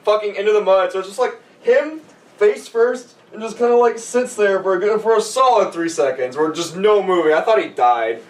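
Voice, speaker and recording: A man's voice: sits high, normal speed. A man telling a rambling, comic story to friends, casual and sweary, often close to laughing. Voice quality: frequent swearing. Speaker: podcast storyteller. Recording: roomy, distant mic with background hiss.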